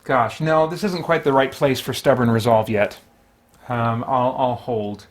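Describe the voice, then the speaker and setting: A man's voice: medium pitch, steady tone, a little slow. A man hosting a solo tabletop gaming stream, giving casual running commentary and thinking aloud as he talks through each move. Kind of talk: casual running commentary while playing a board game.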